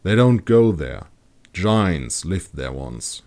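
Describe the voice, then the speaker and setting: Man acting a male expedition leader, low pitch, curious, assured, explanatory, fantasy audiobook dialogue. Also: sing-song, slow pace, darkish tone, heavily acted. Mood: explaining.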